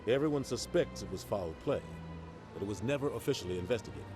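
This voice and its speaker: An actor playing a seasoned male captain, speaking in a measured, confiding way. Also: lowish voice, lively intonation, lightly acted. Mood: guarded. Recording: game audio with music.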